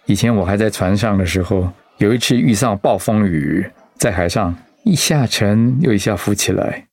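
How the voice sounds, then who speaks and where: A man playing a gentle, stoic, ailing middle-aged husband in a radio drama, hesitant and reassuring. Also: fairly low pitch, lively intonation, normal speed, dry recording. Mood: calm, reassuring.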